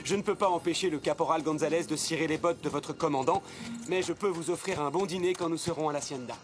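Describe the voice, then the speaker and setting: A man voicing a young, well-bred gentleman hero in a cartoon, confident, courteous and articulate. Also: on the high side, fast talker, over music, natural voice. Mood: amiable, composed. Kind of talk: French cartoon voice acting.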